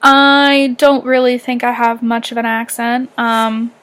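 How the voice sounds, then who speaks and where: A woman's voice: a little high, monotone, measured pace, a bit bright, clear, resonant tone. A young woman from southern Ontario with a light Canadian accent, rambling through a casual personal vlog. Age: young adult. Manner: rambling, heavy use of fillers like "um" and "like". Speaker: vlogger.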